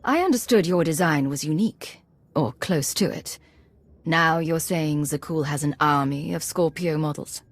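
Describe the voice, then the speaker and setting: A decisive female military commander in a video game. Her voice sits low, with a measured, authoritative delivery and a wary edge. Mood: resolute, wary. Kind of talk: video game cutscene acting.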